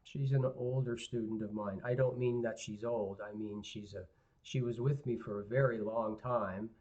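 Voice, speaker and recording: A male guitar teacher giving a live online lesson, hoarse and raspy, clearing his throat often, talking to viewers in a relaxed, rambling way. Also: lowish voice, normal speed. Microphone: computer mic on a live stream. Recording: dull lo-fi livestream audio.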